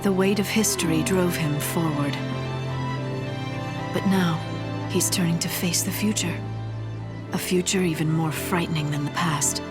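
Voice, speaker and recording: A woman playing a solemn, mysterious, foreboding narrator in a video game, speaking in a grave, hushed manner. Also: on the low side, fairly even intonation, a little slow, long pauses, natural voice. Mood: ominous. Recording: loud music behind.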